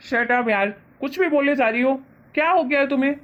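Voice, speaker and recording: A woman's voice: high voice, normal speed, lo-fi sound, close to an everyday voice. A woman voicing an exasperated, scolding young husband in a family drama story. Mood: exasperated, angry. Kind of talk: acted dialogue in Hindi family story.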